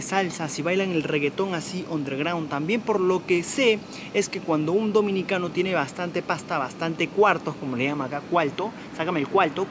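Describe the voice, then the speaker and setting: A man's voice: high voice, background noise. A young man from Peru with a Peruvian Spanish accent, chatting upbeat to a handheld camera on city streets. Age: young adult. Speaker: travel vlogger. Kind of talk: casual travel vlog. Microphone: handheld camera at arm's length. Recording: music playing underneath.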